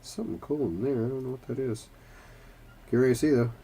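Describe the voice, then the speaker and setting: A man's voice: medium pitch, warm tone, breathy edge. A man hosting a trading-card opening video, still a bit congested from bronchitis, casually reading out player names as he opens packs. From Kentucky. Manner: unhurried, reads player names as cards flip. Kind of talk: casual card-ripping commentary.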